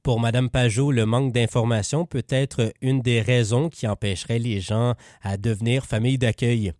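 Male newsreader, Quebec French accent, steady reading, dry close studio sound. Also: medium pitch, normal speed, no room sound. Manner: relaxed chatty in banter, steady when reading. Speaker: news reporter. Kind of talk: read news report with casual banter.

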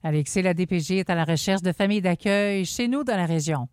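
A woman's voice: on the low side, a little fast, a little mellow, clean tone, dry recording, studio quality. A woman with a Quebec French accent hosting a local radio show, easygoing and chatty. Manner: laughing, easygoing. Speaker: radio host. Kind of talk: casual radio chat.

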